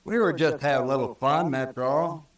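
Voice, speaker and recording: A man's voice: mid-range voice, normal speed, natural voice. A man playing a spiteful, unrepentant cowboy killer in a radio western, with brazen, folksy insolence. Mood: brazen, sullen. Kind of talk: old-time radio western acting. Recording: distant old radio recording.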